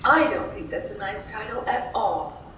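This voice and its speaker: A woman's voice: mid-range voice, lively intonation, unhurried, a bit bright, recorded from a distance, a little hiss or hum. A woman playing a spirited, stubborn young widow in an audio drama, prim and indignant as she stands her ground. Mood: exasperated. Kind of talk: theatrical audio drama acting. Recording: echoey room, off-mic, music behind.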